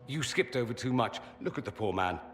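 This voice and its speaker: An actor playing a quick-witted mage companion in a video game, articulate and persuasive. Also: male voice, mid-range voice, lively intonation, fairly bright tone, faint music behind, close to an everyday voice. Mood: explaining. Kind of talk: video game cutscene acting.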